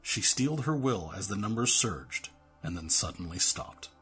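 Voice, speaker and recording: A man's voice: on the low side, animated, moderate pace, fairly bright tone, soft background music. A man reading scripted narration for an audio drama in a dramatic storytelling manner.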